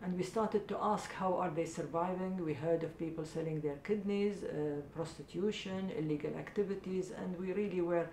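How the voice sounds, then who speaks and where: Low, gravelly woman in an echoey room. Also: normal speed, few pauses, a little mellow. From Iraq. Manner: hesitant, many uh fillers, earnest. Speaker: charity founder. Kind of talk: interview answers. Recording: echoey room with faint rumble.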